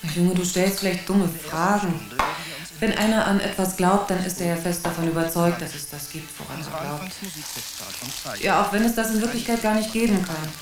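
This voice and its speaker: A woman's voice: fairly low pitch, moderate pace, natural voice. A woman playing an impatient, distracted, brusque mother in a German radio drama. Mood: distracted, irritated. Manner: brusque, dismissive. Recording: roomy sound, music behind.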